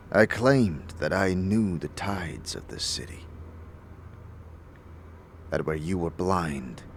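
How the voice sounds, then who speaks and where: Man acting a slow, low, solemn warrior sage. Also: creaky, a little hiss or hum, heavily acted. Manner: formal, measured, hesitant. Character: solemn, reserved male warrior sage, humble loyal companion. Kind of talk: fantasy audio drama acting.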